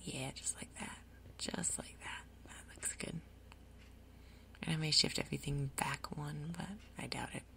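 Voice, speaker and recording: Softly whispering woman, ASMR gaming. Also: sits low, fairly even intonation, measured pace. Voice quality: soft, breathy, whispered. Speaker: gaming video host. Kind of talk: ASMR game commentary, soft-spoken whispering.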